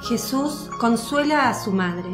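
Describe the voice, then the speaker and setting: Woman narrating a radio play, slow and formal, echoey, bit of music. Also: on the high side, animated. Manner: measured, formal storytelling. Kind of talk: scripted radio drama narration. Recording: roomy, echoing voice track. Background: faint music.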